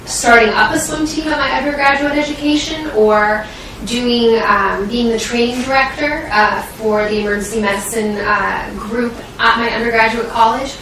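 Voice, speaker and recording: Echoey, bright-voiced young American woman. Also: on the high side, steady tone, moderate pace. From Washington State, United States. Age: young adult. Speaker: medical student interviewing for residency. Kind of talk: interview answers. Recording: roomy echo, distant mic, faint noise.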